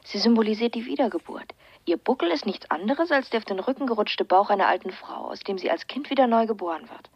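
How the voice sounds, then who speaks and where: An actress playing a dreamy, whimsical girlfriend with a husky, breathy voice in a German radio crime drama. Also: fairly high pitch, expressive, fast, no echo, muffled recording. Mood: playful, curious.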